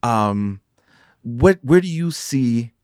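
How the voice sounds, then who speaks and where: A man's voice: mid-range voice, lively intonation, very slow, clear, resonant tone, no room sound, studio quality. A man hosting an interview in an easygoing way, with short affirmations and fillers. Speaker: interview host. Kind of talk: casual interview chat.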